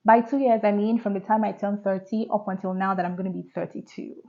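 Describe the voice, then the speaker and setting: A woman's voice: mid-range voice, fairly even intonation, fast talker, narrow, tinny audio, background noise. A woman, talking candidly to her vlog audience and asking rhetorical questions. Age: 30s. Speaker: vlogger. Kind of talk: casual reflective talk to camera.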